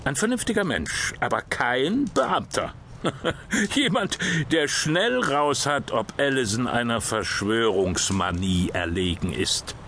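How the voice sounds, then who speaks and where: A male actor playing a sober official who briefs a priest on a case in an audio drama, measured and slightly hesitant. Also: mid-range voice, sing-song, fairly bright tone, slight background noise, heavily acted. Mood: cautious, factual. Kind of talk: German audio drama dialogue.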